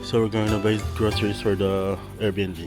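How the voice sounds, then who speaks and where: A man vlogging on location, chatting in a lively way and switching between English and Tagalog. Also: fairly low pitch, moderate pace. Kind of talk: on-location vlog chat. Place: busy city streets outdoors. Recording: noisy street, loud crowd and music. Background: loud crowd and music.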